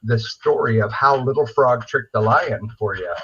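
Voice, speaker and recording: Husky middle-aged man, dull lo-fi audio. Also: mid-range voice, moderate pace. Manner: enthusiastic, rambling. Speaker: puppeteer, interview guest. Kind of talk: casual chat. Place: remote video call. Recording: dull, lo-fi video call audio.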